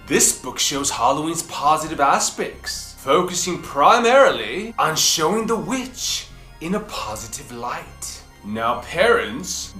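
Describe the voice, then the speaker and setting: A woman hosting a children's book club, reading aloud expressively with theatrical, deliberate emphasis. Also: deep voice, fairly bright tone. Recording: roomy echo, faint music behind.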